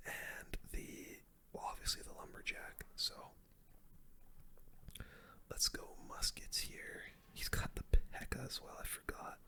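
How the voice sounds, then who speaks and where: Casting: ASMR game streamer, sex open, breathy bright whisper throughout, slangy play-by-play delivery. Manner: casual gamer slang, talks through each move. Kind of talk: whispered ASMR gameplay commentary.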